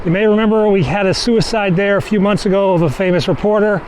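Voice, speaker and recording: A man's voice: high-pitched, fast talker, a little mellow. A man giving casual on-the-go narration as a vlogger, outdoors in the city on a handheld camera. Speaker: vlogger filming street incidents. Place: outdoors on city streets and a bike path. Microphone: handheld pocket camera. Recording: noisy outdoor city street with traffic. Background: traffic and city noise.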